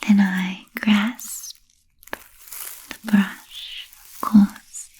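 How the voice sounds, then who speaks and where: A woman playing an ancient, refined, playfully macabre vampire in a whispered ASMR roleplay, speaking softly and formally with trailing pauses. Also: medium pitch, flat delivery, slight background noise. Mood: teasing, musing. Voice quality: soft breathy whisper. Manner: slow, deliberate, archly formal with trailing pauses.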